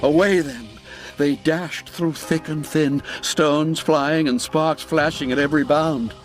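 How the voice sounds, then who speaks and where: A man narrating a classic ghost story as a dramatic reading, measured and full of deliberate pauses. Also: fairly high pitch, steady tone, faint music behind.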